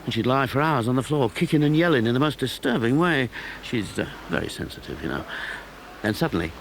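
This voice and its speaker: A man's voice: mid-range voice, expressive, a little fast, creaky. An actor playing a boastful, doting, rich factory-owning father in an audio drama, with blustering delivery. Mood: boastful, exasperated. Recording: noisy crowd ambience behind.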